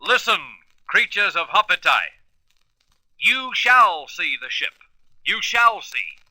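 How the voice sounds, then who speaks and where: An actor playing a daring, commanding space adventurer in a radio serial, earnest and emphatic. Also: male voice, high-pitched, big pitch swings, slow pace, sharp tone, no room sound, full character voice, poor audio. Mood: stern, commanding.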